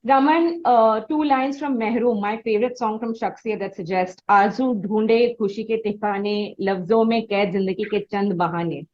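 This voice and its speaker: A woman's voice: medium pitch, moderate pace, hardly any pauses, dull audio. An Indian-accented woman hosting an interview, articulate and effusive, with rather formal phrasing. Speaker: interview host.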